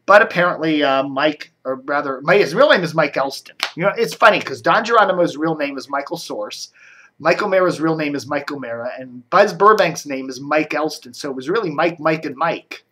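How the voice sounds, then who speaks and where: Rambling, chatty man with a higher-pitched voice. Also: expressive, a little fast, bright, forceful tone, slightly rough. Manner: rambling, chatty, breaks off and thinks aloud. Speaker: host of a local radio and TV news web show. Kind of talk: casual off-the-cuff commentary.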